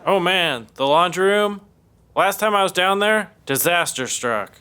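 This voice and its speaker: An actor playing an upbeat, earnest and clueless young sitcom roommate. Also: male voice, high-pitched, expressive, unhurried, pushed and bright, a little hiss or hum, exaggerated voice. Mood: dramatic. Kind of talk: sitcom acting.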